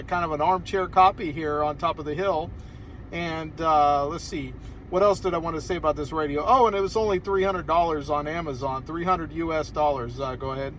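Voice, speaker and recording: A man's voice: on the high side, a bit bright, a little hiss or hum. An American man chatting casually as an amateur radio operator, rambling a little as he talks from inside a car. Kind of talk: casual ham radio conversation. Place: inside a parked car outdoors on a hillside.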